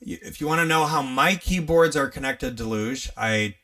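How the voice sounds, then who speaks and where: A young man with an upstate New York accent, hosting a casual live tutorial on music gear, chatty and relaxed, laughing often as he works. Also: on the high side, normal speed, creaky, far from the mic. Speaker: livestream host teaching music gear.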